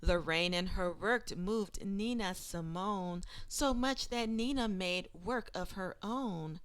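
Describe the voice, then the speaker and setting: A woman with a mid-pitched voice reads in the manner of a teacher sharing a picture book. Her pace is slow and measured, and her phrasing is expressive and deliberate. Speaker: educator reading a children's book aloud. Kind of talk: expressive read-aloud of rhyming children's book.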